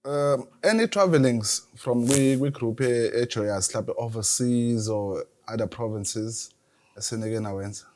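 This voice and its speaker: A man's voice: medium pitch, unhurried, darkish tone. A South African man interviews a guest casually, asking mostly in Zulu mixed with English and often answering with short agreements.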